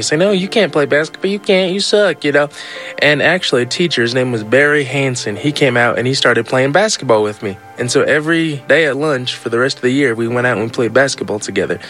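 A man's voice: mid-range voice, a little fast, faint music behind. Casual man telling his life story. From United States. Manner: relaxed, many fillers and "you know"s. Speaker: interviewee.

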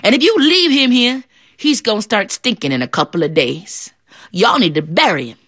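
A woman voicing a clever male rabbit trickster, high and exclaiming. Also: expressive, normal speed, a bit bright, dry recording, clean studio sound. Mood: mock grief.

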